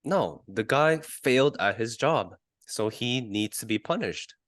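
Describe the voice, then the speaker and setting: A man co-hosting a sports podcast in casual discussion, arguing his points haltingly and often repeating words. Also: medium pitch, expressive, fairly bright tone, no echo, clean studio sound. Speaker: podcast co-host.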